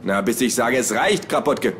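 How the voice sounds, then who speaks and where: A man's voice: mid-range voice, animated, moderate pace, fairly bright tone, a little hiss or hum, voice pushed up, close to an everyday voice. Man as a stern, dry mock army captain. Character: grim, pedantic man posing as army captain.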